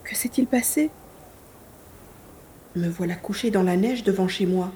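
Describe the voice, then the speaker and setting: A woman playing a determined, curious young hero in a science fiction audio drama, expressive and thinking aloud. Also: medium pitch, unhurried, a little hiss or hum, close to an everyday voice. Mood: puzzled.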